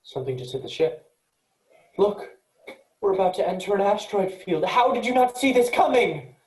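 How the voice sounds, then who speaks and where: Roomy echoey sound, woman acting earnest rule-following space programmer, animated mid-range voice, insistent, sci-fi play reading. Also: mid-range voice, moderate pace, close to an everyday voice. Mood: urgent. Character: earnest, rule-abiding spaceship programmer, anxious and loyal to machines. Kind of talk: virtual staged play reading.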